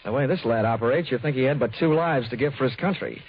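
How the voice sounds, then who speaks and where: A man's voice: mid-range voice, fast, slightly rough, natural voice. Man acting a wisecracking 1940s radio detective, dull recording. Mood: breezy, sardonic. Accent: American. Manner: flippant, wry, breezy quips. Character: wisecracking, cocky freelance male insurance investigator.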